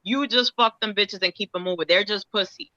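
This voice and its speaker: A woman's voice: medium pitch, fairly quick, sharp tone, dry recording, lo-fi sound. A woman with a Dominican accent, a guest speaking bluntly and profanely in casual chat.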